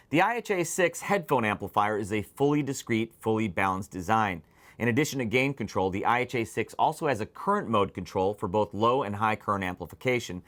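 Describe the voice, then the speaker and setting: Low-voiced man presenting gear, enthusiastic. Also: a bit bright. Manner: enthusiastic, emphatic, direct address to viewers. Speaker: host and presenter of a headphone show. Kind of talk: scripted product preview to camera.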